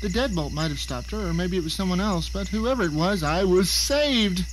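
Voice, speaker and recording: A man acts out a captive male novelist in an audio drama, recounting his ordeal in a theatrical, exclamatory way. He sounds panicked and indignant. The track is clearly noisy, with insect-like chirping behind the voice. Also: high voice, lively intonation, normal speed. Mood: tense recounting. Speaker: narrator and lead actor. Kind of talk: dramatic first-person audio drama narration.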